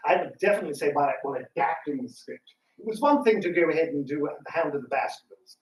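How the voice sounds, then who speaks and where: A man's voice: fairly high pitch, lively intonation, quick pace. A man talking informally to an audience, with emphatic, theatrical phrasing. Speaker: radio theatre co-founder and scriptwriter. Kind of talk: informal live talk to an audience. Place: public library room. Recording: roomy, distant mic with some noise.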